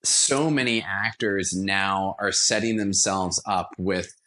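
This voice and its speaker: A man with a fairly low-pitched voice talks as a guest in a conversational interview. He explains his work as a director with evident enthusiasm. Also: takes it slowly, bright, forceful tone. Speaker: podcast guest, radio theatre director.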